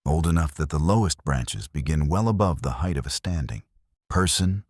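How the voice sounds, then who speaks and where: Male sleep-meditation narrator, bass-deep, contemplative scripted delivery, very dry sound. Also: lively intonation, normal speed, darkish tone, no room sound.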